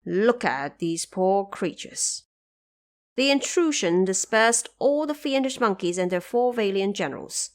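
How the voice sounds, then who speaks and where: A woman narrator telling a story in a scripted, theatrical and declamatory audio drama style. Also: medium pitch.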